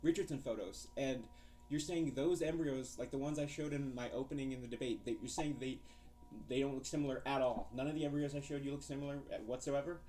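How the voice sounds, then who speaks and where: A man's voice: medium pitch, steady tone, brisk, warm tone. A man in an argumentative phone debate, pressing his questions persistently and challenging the other side.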